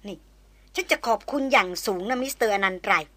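A woman voicing a cool, sharp-tongued Western woman adventurer who speaks in a clipped, formal way. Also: fairly high pitch, lively intonation, brisk, no echo. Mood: icy.

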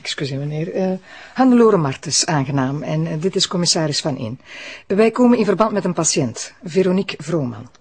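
An actress playing an earnest, assertive deputy prosecutor in a radio drama, polite and probing, with a Flemish accent. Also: female voice, low voice, expressive, a little fast, a little mellow, breathy edge.

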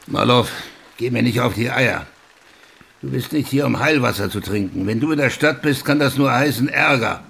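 An actor playing a gruff, foul-mouthed, ageing small-town police chief in a radio play, blunt, threatening and world-weary. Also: male voice, mid-range voice, normal speed, fairly bright tone, a little hiss or hum. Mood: grumbling, wary. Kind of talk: German radio play acting.

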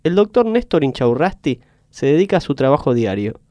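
A man's voice: on the high side, very animated, fairly quick, noisy background. A man playing a formal narrator of a comic radio serial, reading scripted narration.

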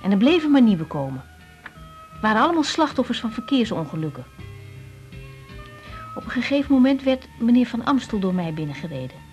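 Young lady doctor, harried n emotional, highish, swoopy voice, radio drama. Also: sing-song, brisk, long pauses, darkish tone, dull audio, soft background music. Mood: confiding, troubled. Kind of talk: Dutch radio drama acting.

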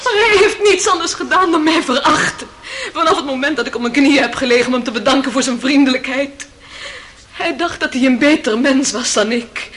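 Very high-pitched, bitter, wounded young woman. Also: animated, fairly quick, a bit bright. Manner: impassioned, bitter, emotional. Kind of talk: theatrical radio drama acting. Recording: old radio play with faint noise.

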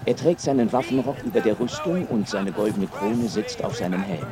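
A man's voice: on the low side, lively intonation, normal speed, natural voice. A man playing a sober battle chronicler, narrating descriptively in a scripted radio drama. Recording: outdoor crowd and hoofbeats behind.

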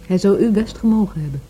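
An actress in a Dutch radio drama playing a wary woman questioned about her dead friend, with a husky voice. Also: mid-range voice, mellow, a little hiss or hum, lightly acted, rough recording.

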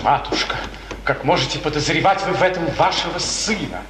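A lovesick young count, acted by a man in a 1950s radio tragedy, speaks in a fairly high voice with lofty, ardent, melancholy solemnity. The recording is distant and noisy, ringing like a hall. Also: brisk, natural voice. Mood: gloomy. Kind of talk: 1950s radio theatre acting, declamatory tragedy.

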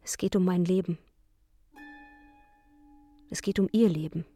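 Husky, pleading young woman. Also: fairly low pitch, steady tone, a little slow, mellow, faint music behind. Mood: pleading, sorrowful. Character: anxious young woman pleading in a farewell letter. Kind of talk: radio drama letter reading.

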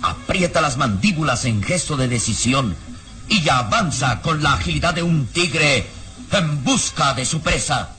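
A male narrator of an old adventure radio drama. His voice is on the high side and very animated, theatrical and emphatic, with clear music playing behind him. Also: measured pace.

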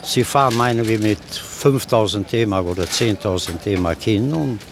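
A man's voice: fairly low pitch, unhurried, noisy background. A middle-aged market trader, a man, recounting his own experiences in a plain-spoken way. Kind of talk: personal recollection in conversation.

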